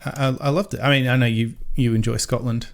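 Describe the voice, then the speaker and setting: Gravelly mild-Australian man chatting. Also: medium pitch, fairly even intonation, fast talker. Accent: mild Australian, softened by years in America. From Sydney, Australia. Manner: rambling, restarts mid-sentence, laughs easily. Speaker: podcast co-host. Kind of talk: casual chat.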